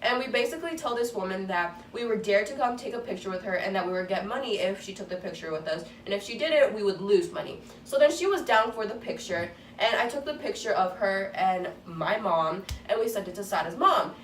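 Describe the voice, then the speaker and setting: A woman's voice: medium pitch, expressive, a little fast. A teenage girl with a young, babyish voice rambles through a story, full of fillers and swears. She sounds set back from the mic in a roomy space, with a faint background hiss. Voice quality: youthful, babyish voice. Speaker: vlogger. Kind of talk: casual storytime chat. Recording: echoey room, distant mic, faint noise.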